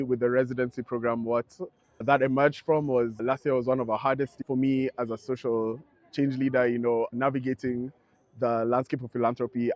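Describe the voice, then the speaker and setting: A young man, speaking in a thoughtful, measured and earnest way as he reflects in an interview. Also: mid-range voice, darkish tone. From Kenya. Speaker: founder of an advocacy network.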